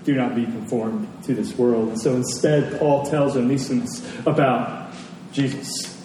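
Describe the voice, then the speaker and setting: A man preaching a storytelling sermon to a congregation, deliberate, with dramatic pauses and emphasis. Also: medium pitch, fairly even intonation. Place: large indoor church space. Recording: very echoey hall, distant mic, faint noise.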